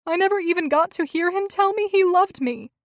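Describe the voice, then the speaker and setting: A woman's voice: fairly high pitch, moderate pace, dry recording, studio quality, natural voice. A woman voicing a grieving young wife who pleads and breaks with emotion. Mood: tearful.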